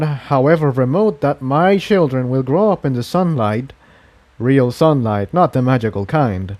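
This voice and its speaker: A man's voice: medium pitch, a little mellow, natural voice. A man voicing a weary, earnest engineer pony in a storybook reading, talking in a reflective, confiding way.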